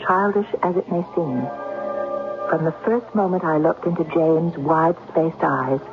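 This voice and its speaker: An earnest, lovestruck young woman in a 1970s radio mystery. Her delivery is halting and heartfelt. The sound is thin and narrow like an old broadcast, with music behind her. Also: sits low. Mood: wistful, confiding. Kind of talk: 1970s radio drama acting.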